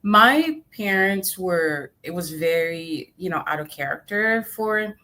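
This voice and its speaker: A woman's voice: fairly low pitch, lively intonation, takes it slowly, pushed and bright. Chatty Haitian-accented woman on a video call. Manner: chatty, many fillers and laughs, acts out quotes. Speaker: guest, arts nonprofit director. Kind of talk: casual interview storytelling. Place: home room, video call.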